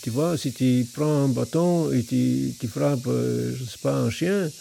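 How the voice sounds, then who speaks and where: Polish-accented monk guy, gravelly and mellow, slow halting thinking-out-loud talk. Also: mid-range voice, a little hiss or hum. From Poland. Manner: halting, thoughtful, many pauses. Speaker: Buddhist monk. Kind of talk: reflective spoken explanation.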